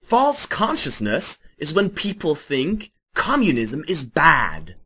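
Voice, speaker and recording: A performer playing a zealous, self-righteous teenage schoolboy with a husky, strained voice in an amateur comedic reading. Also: medium pitch, wildly swooping pitch, slow pace, fairly bright tone, full character voice. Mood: smug, earnest.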